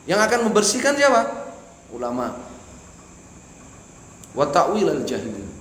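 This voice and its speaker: A man's voice: fairly high pitch, wildly swooping pitch, normal speed, fairly bright tone. A man giving a mosque study lecture, reading Arabic phrases and explaining each in Indonesian, asking the audience questions and answering them himself. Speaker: religious teacher. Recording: echoey hall with faint hiss.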